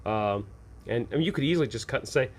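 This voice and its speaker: Male host, medium pitch, informal off-the-cuff explainer for game masters, conversational, asks and answers his own questions. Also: lively intonation, fast talker, slight background noise. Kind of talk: casual unscripted advice monologue.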